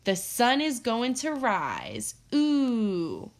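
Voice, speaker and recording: Lady doing a chirpy little storybook squirrel, sing-song, high and cheeky. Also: wildly swooping pitch, extremely slow, full character voice.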